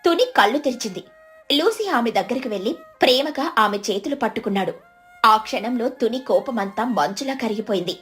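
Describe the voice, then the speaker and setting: A woman's voice: on the high side, lively intonation, fairly quick, fairly bright tone, faint music behind. A woman narrating a scripted children's story.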